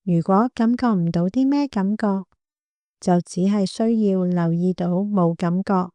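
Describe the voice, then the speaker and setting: A woman with a Hong Kong Cantonese accent guiding a meditation in a gentle, calm, unhurried manner. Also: medium pitch, no room sound. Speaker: meditation guide, clinical psychologist. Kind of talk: guided body scan meditation.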